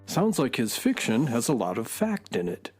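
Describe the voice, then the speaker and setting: A man's voice: mid-range voice, huge pitch swings, normal speed, faint music behind. A man playing a sensible, dryly wry horse sidekick in a children's radio drama. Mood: matter-of-fact.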